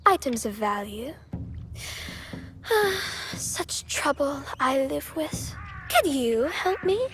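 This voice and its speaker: A woman playing a sly, defiant young pirate's daughter in a video game, sharp-tongued and playfully sweet. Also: high-pitched, sing-song, takes it slowly, a little hiss or hum, heavily acted. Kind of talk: dramatic game voice acting.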